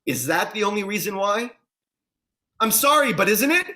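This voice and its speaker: A man's voice: sits high, very animated, moderate pace, sharp tone, full character voice. A man playing a frantic, guilt-ridden cop. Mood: defensive. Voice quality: pleading, frantic delivery. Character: desperate guilt-ridden male police officer. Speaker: actor in a staged play reading. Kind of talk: staged play reading.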